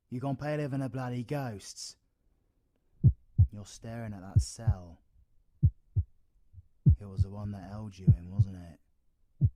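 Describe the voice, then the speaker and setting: A British man playing a roguish, protective, gruff yet tender supervillain in an audio roleplay, husky and colloquial. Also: on the low side, darkish tone, no echo. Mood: concerned, insistent. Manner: sweary, teasing, colloquial. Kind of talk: audio roleplay, villain ASMR.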